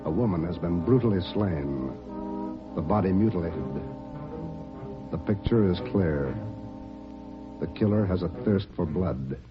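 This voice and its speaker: A man's voice: low voice, animated, unhurried, long pauses, over music. A man announcing in a stark, punchy dramatic way, on a muffled vintage radio broadcast.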